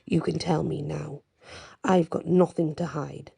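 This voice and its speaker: Proud shifter man for fantasy audiobook woman performing: gruff, defiant, husky, creaky. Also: low-pitched, fairly even intonation, unhurried, dark tone, no echo, heavily acted. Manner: gruff, snarling. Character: defiant, growling male shapeshifter, sickly and proud.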